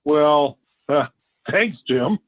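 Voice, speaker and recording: An actor playing a hesitant, henpecked middle-aged husband in a 1940s radio sitcom, on a thin old recording. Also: male voice, fairly high pitch, animated, phone-quality sound, exaggerated voice. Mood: hesitant, placating. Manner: hesitant, halting.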